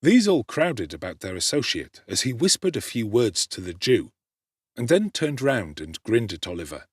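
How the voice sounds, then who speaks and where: Husky, expressive male narrator. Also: medium pitch, normal speed, no echo, studio quality. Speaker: audiobook narrator and actor. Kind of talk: dramatic scripted narration of a classic novel.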